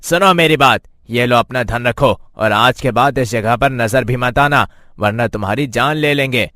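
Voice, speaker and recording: A man voicing a gruff, greedy bandit in a cartoon moral story, bossy, curt and threatening. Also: medium pitch, moderate pace, pushed and bright, no room sound, studio quality, lightly acted. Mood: menacing.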